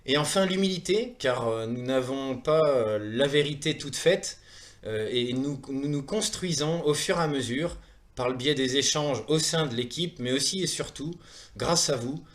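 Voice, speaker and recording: Hesitant, earnest Frenchman presenting. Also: medium pitch. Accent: French from France. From France, Saumur in Maine-et-Loire. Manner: earnest, hesitant, with frequent pauses and word repetitions. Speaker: presenter of a wellness training programme. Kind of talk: semi-prepared online presentation.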